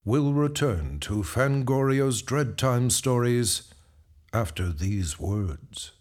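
A man announcing the show with a slow, theatrical delivery. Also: medium pitch, expressive, darkish tone.

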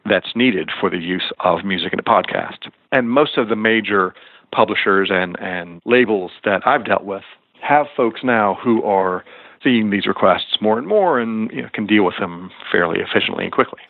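A man with a low voice gives explanations as an interview guest, in a conversational, stop-and-start way. The sound is narrow, like a phone call, with very little room around it. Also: normal speed, no echo. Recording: thin phone-like line.

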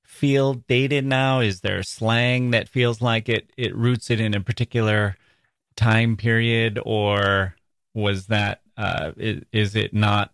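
A man hosting a casual interview, asking curious, measured questions. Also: fairly low pitch, little pitch movement, vocal fry, fairly bright tone, dry recording, studio quality. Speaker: podcast host.

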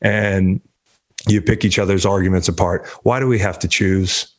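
Male sports host, 30s, lowish voice, loose profane rambling delivery, slightly stuffy. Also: steady tone, moderate pace. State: congested. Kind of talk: casual sports talk.

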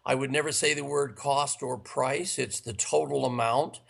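A man with a raspy, worn voice from long speaking, earnestly giving sales and management advice in an interview. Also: mid-range voice, fairly even intonation, normal speed. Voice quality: gravelly. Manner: earnest, instructive, listing points. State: raspy, tired voice. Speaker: sales trainer guest.

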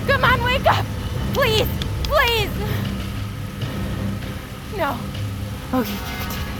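Loud music surrounds a desperate woman survivor in an audio drama. Her voice sits high, and she takes her words slowly, frightened and raw. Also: sing-song, full character voice. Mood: frantic pleading. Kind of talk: audio fiction drama acting.

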